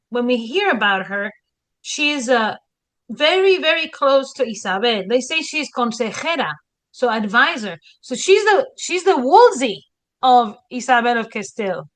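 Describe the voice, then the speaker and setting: A woman's voice: fairly high pitch, measured pace. A woman from Spain with a Spanish accent, a historian co-hosting a casual podcast chat, telling stories with rhetorical questions and acted-out quotes. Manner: animated storyteller, rhetorical questions, acts out quotes. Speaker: historian co-host.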